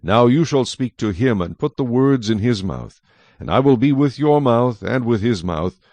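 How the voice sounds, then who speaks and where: An actor playing a solemn, commanding God in a dramatized scripture reading, with measured, authoritative delivery. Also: male voice, medium pitch, lively intonation, darkish tone, dry recording, lightly acted. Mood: stern. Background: faint music.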